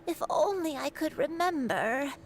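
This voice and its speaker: A woman voicing a gentle, troubled granny with lost memories in a video game, speaking hesitantly. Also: sits high, lively intonation, a little slow, slight background noise. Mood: wistful. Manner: hesitant, soft-spoken, trailing off.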